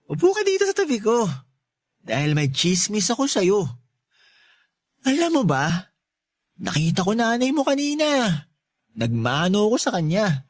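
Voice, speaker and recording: A man's voice: high-pitched, huge pitch swings, measured pace, long pauses, dry recording, exaggerated voice. A drunk young husband in a radio drama, good-hearted but full of self-pity. His speech is slurred and rambling, swinging widely up and down as he pleads. The recording is dry and close. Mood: tipsy, coaxing. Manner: rambling, repeating himself, pleading. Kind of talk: Tagalog radio drama acting.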